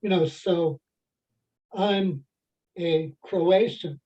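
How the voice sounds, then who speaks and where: A participant in an adult faith class discussion, talking in a halting way with many fillers and restarts. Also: medium pitch, dark tone. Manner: halting, heavy with "you know" fillers and restarts. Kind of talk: group discussion. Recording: dull lo-fi sound.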